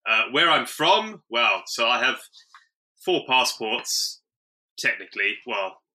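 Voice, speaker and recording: A man's voice: mid-range voice, big pitch swings, takes it slowly, bright, forceful tone, poor audio. A man from South Africa, a podcast guest, talking casually in a rambling, self-correcting way and chuckling often. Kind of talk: casual interview talk.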